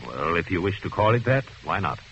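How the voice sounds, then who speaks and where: An actor playing an arrogant, intellectual murderer in prison, speaking with pompous, condescending precision in a 1940s radio drama. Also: male voice, sits low, very animated, fairly quick, fairly bright tone, slight background noise, exaggerated voice. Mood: coolly superior. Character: arrogant, self-satisfied murderer convict of high intellect. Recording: dull old radio recording, music behind.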